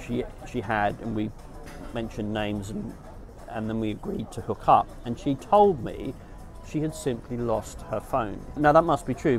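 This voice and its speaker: Middle-aged male vlogger, medium pitch, candid storyteller who rambles and self-corrects, recorded outside with busy city noise. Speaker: dating vlogger. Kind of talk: casual storytelling to camera. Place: outdoors beside a garden hedge in town.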